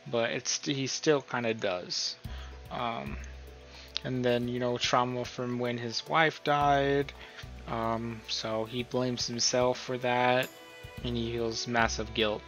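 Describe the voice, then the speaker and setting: A man's voice: mid-range voice, steady tone, slow, fairly bright tone, faint music behind. A man talking informally through a tutorial, rambling a little as he thinks aloud. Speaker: video tutorial host. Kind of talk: casual tutorial commentary, explaining a role-play character profile.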